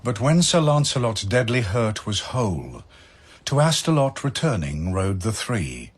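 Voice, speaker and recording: A man narrating verse as a dramatic storyteller, in a measured, solemn, literary manner. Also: on the low side, sing-song, slightly rough.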